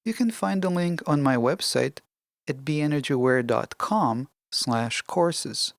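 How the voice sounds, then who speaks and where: A podcast host giving scripted self-help narration in a measured, deliberate, instructional way. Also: mid-range voice, lively intonation, no room sound, clean studio sound.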